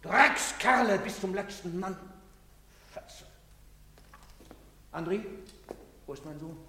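A man's voice: high voice, lively intonation, slow, sharp tone, heavily acted. An actor playing a distraught, cursing father in a stage drama, wailing for his son. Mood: raging, desperate. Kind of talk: German stage drama acting. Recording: echoing theatre hall recording.

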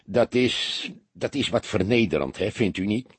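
Man playing a sheepish small-time crook, lively pitch. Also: normal speed, breathy edge, dry recording. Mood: apologetic, pleading. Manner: hesitant, wheedling, colloquial Dutch. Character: shy, apologetic small-time crook just out of jail.

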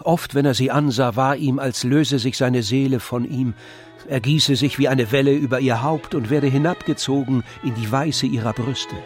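A man narrating a literary radio drama in measured, deliberate phrases. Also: mid-range voice, fairly even intonation, faint music behind.

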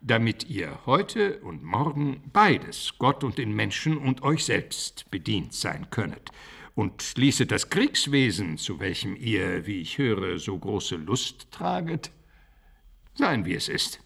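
A man's voice: fairly low pitch, very animated, slow, creaky, a bit bright, breathy edge, heavily acted. An older actor playing a learned, fatherly, admonishing pastor in a literary radio drama, with formal, preachy phrasing.

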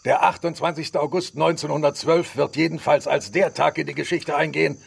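An actor playing a blustering, sardonic professor-explorer in a radio drama, with a gravelly, husky voice and mocking, declamatory delivery. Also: male voice, a little high, fairly even intonation, brisk, heavily acted. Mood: scornful, triumphant.

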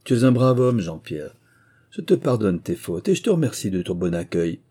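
A man voicing a blustering male wind spirit from a storybook, with a gruff big voice. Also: lowish voice, big pitch swings, normal speed, mellow. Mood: blustering, jovial. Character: blustering giant wind spirit, booming, then pleading. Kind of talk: storybook character voice.

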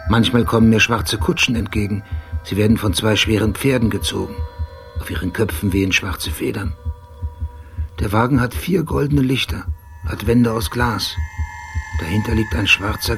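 A haunted police detective narrating a radio drama. The voice is low and heavily creaky, brooding and precise. Phrases come measured and deliberate, as from someone gripped by memory. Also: male voice, slight background noise. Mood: brooding, remembering.

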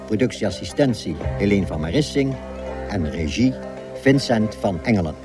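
A man reading radio drama credits as an announcer in a formal, measured way. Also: lowish voice, lively intonation, music in the background.

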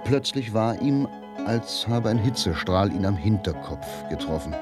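A man narrating a radio drama in a measured, literary and dramatic manner. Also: on the low side, expressive. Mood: grave, suspenseful. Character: grave literary narrator of a crime story. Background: music.

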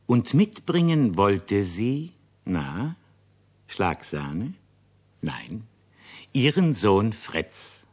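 A low-voiced man tells a scripted story to children as narrator of a radio play. He is chatty and unhurried, with a husky tone, on a dull old recording. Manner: chatty, expressive.

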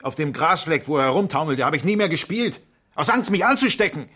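A man's voice: a little high, expressive, brisk, bright, forceful tone, husky, heavily acted. A man acting in a 1970s German radio crime drama as a defensive, wry engineer being questioned by police. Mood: haunted, agitated.

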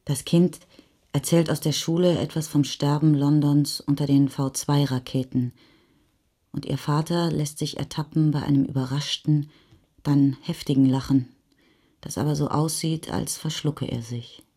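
Husky woman narrator, darker voice. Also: sits low, fairly even intonation, normal speed, mellow. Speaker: narrator in a radio play. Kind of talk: literary third-person narration.

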